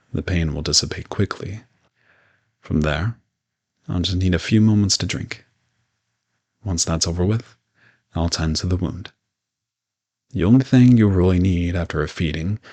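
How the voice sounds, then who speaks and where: Man acting as male vampire, deep voice, vocal fry, courteous, reassuring, matter-of-fact, conversational audio roleplay. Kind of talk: roleplay audio drama addressed to the listener.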